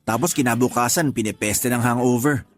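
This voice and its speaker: A man's voice: mid-range voice, brisk, soft background music, natural voice. An actor playing a stubborn, principled bachelor in his thirties with a Filipino accent, answering defensively in a radio drama. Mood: annoyed. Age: 30s. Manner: defensive, terse, irritated replies. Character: stubborn, principled 31-year-old bachelor, homebody son.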